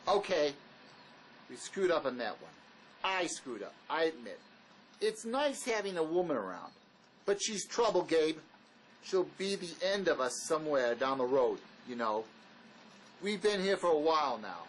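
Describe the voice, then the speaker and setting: An actor playing a wary, blunt escaped convict in a drama, speaking in an argumentative, insistent manner. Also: male voice, on the high side, sing-song, moderate pace, slight background noise, rough recording. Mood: exasperated, arguing. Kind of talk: dramatic dialogue reading.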